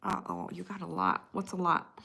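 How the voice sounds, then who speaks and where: A woman's voice: on the low side, lively intonation, normal speed, vocal fry, pushed and bright, husky. A woman with an American Midwestern accent, hosting a casual livestream, chatting and replying to viewers by name as she moves from one comment to the next. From United States. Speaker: livestream host reading and answering viewer chat. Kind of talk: casual live chat with viewers.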